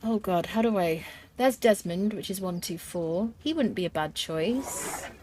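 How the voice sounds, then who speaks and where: A woman's voice: medium pitch, measured pace, a little mellow, slight background noise. A woman streaming a survival game, chatting as she plays, thinking aloud with fillers and answering her viewers' comments. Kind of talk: live gameplay commentary.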